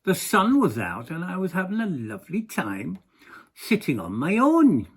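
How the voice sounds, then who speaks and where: An older Englishman telling a rehearsed anecdote in a put-on Welsh accent, with deliberate, singsong phrasing. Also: high-pitched, huge pitch swings, breathy edge. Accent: English speaker putting on a Welsh accent. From England.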